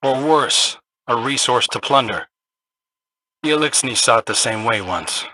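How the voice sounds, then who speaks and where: A modest game hero with an outlaw's dry wit. He talks in short, deadpan lines, his voice very bright and tense, recorded close and dry. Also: medium pitch, unhurried, dry recording, low-quality recording. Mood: reflective. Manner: terse, deadpan, understated. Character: wry, self-effacing male outlaw hero and field scout. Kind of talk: scripted video game mission dialogue.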